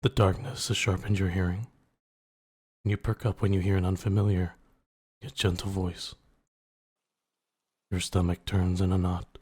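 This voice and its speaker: A man's voice: low voice, flat delivery, normal speed, long pauses, a little mellow, breathy edge. A man narrating a scripted story in the second person, as an introduction to a roleplay.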